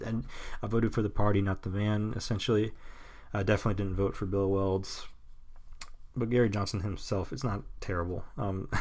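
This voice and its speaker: A young man in his mid-twenties with a very low voice talks alone into a podcast mic. He rambles and restarts often, trails off into nervous chuckles, then drops blunt, cynical remarks with casual swearing. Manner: halting, full of restarts and nervous laughs. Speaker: podcast host. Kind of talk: rambling solo podcast monologue.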